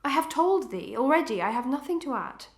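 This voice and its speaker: Actress as proud, pleading melodrama wife. Higher female voice, archaic formal diction, very roomy sound. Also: big pitch swings, brisk. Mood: pained restraint. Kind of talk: old-fashioned melodramatic stage acting.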